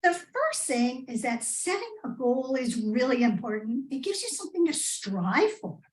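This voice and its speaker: A middle-aged American woman giving a rehearsed, humorous storytelling speech in a theatrical manner with dramatic pauses. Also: high voice, sing-song, slight background noise. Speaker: speech contestant. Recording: roomy, echoing live speech pickup.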